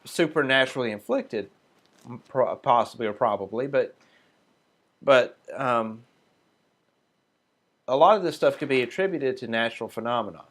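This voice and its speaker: A man teaching a Sabbath group, reading passages aloud slowly and then explaining them in a loose, casual way with fillers and restarts. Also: medium pitch, animated, a bit bright. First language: not Hebrew. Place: small group meeting room.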